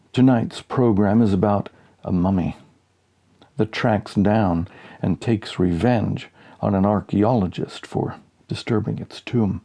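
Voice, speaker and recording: A man reading a humorous story aloud as scripted narration, deadpan and suspenseful, with dramatic pauses. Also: fairly low pitch, creaky. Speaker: audiobook reader.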